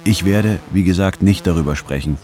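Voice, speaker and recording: A very low-voiced man an actor in role plays a reflective, hesitant employee. He moves between narration and dialogue in a radio drama, with faint music underneath. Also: moderate pace, dark tone, natural voice. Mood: guarded. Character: reflective, hesitant male employee telling his story.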